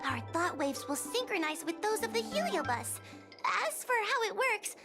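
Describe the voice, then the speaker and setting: A bright, high, chatty young heroine. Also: female voice, very animated, normal speed. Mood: earnest. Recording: game cutscene with music score. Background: music.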